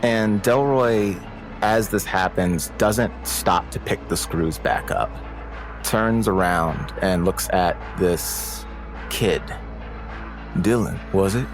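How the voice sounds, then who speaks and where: A man playing a tabletop game, narrating his own character in halting, deliberate phrases over faint music. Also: sits low. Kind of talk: improvised tabletop game storytelling.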